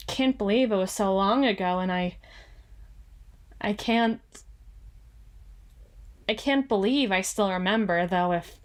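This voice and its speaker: A woman playing a frazzled, proud young female CEO in an ASMR roleplay, halting and stammering as she corrects herself. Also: mid-range voice, close to an everyday voice. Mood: awkward, earnest.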